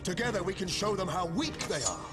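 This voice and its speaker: A fiery villain warlord in a high, ringing pitch. He declaims grandly and commands his followers over very loud music. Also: very animated, brisk. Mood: scornful, rousing.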